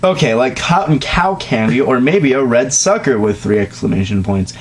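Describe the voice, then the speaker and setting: A man's voice: medium pitch, moderate pace. A man reading a story aloud, breaking off for mocking, sarcastic asides. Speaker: reader in a comedic dramatic reading.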